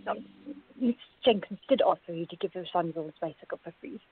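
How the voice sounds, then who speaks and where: A woman playing a sensible young working woman in a radio drama, measured, polite and dryly teasing. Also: lowish voice, animated, slightly rough. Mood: reasonable, patient. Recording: dull lo-fi recording.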